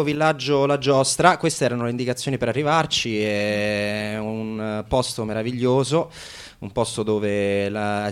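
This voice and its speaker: Low, smooth-voiced male radio host. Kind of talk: informal radio presenting. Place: radio studio.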